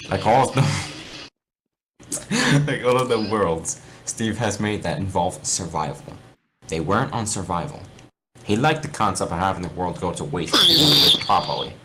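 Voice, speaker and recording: A man's voice: on the low side, a bit bright. A man reading aloud and talking casually in an amateur, sweary way over a game voice chat. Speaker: fan fiction reader. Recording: noisy voice chat recording.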